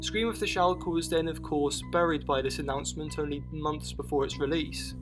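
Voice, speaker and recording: A man's voice: on the high side, fairly even intonation, fairly quick, music in the background. A man reading scripted narration for a documentary-style video essay.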